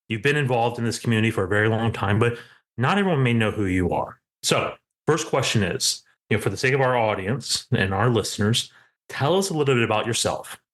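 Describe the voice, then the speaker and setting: A man's voice: mid-range voice, a bit bright. A man hosting a podcast, who rambles through his introduction, keeps tagging his points with "right?" and jokes with his guest. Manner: rambling, repeats "right?", joking. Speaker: podcast host. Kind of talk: conversational podcast interview.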